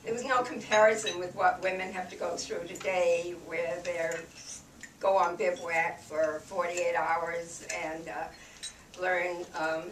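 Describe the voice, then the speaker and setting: An octogenarian woman from New Hampshire recalls her service with dry humor, her voice a little croaky from a cold. She speaks slowly, picked up from across an echoing room. Pots and dishes clatter in the background. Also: on the low side, fairly even intonation, far from the mic, a little hiss or hum. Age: 80s. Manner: slow, wry reminiscing with soft laughs and fillers. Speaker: veteran guest speaker. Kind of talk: personal war memories told to an audience. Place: room with an audience.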